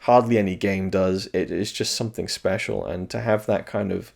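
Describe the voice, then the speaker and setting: A slightly hoarse man reviewing video games in a casual, rambling solo talk, thoughtful and full of restarts. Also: low-pitched, steady tone, normal speed, darkish tone.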